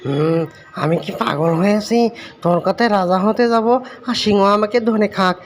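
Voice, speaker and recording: A man's voice: sits high, unhurried, a little hiss or hum. A man voicing a wary, scornful elephant in a cartoon, blunt and dismissive.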